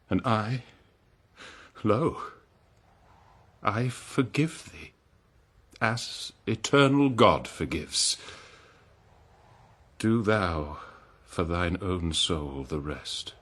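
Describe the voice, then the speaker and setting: A man playing a betrayed, noble king in a classical verse drama, solemn and declamatory, with long pauses. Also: lowish voice, a bit bright, husky, exaggerated voice. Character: betrayed noble old-world king, anguished, forgiving husband.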